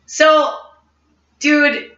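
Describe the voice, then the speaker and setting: Woman host, brash, profane, high bright voice, casual chat, roomy distant slightly muffled recording. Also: level tone, drawn-out words. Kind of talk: casual rowdy group chat.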